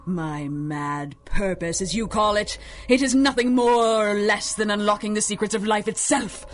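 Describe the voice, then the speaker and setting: An actress playing an ancient, life-stealing sorceress who speaks in a silky, menacing, gloating way, in a 1930s-style radio drama. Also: female voice, mid-range voice, moderate pace, close to an everyday voice. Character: ancient scheming sorceress woman, over sixty, life-stealing villain.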